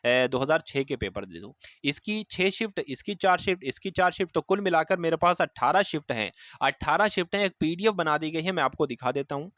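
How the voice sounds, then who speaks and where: A man's voice: on the high side, fairly bright tone, no echo. A man giving an informal, instructive exam update to students, repeating key points for emphasis. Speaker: exam coaching presenter.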